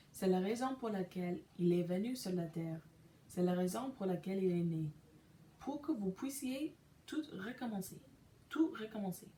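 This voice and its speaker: Slow, careful English-Canadian woman reading. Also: mid-range voice, dark tone, echoey room, a little hiss or hum. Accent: English-speaking Canadian. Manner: hesitant, pauses with euh, restarts mispronounced words. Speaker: podcaster reading a devotional. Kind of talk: slow careful reading aloud in a second language.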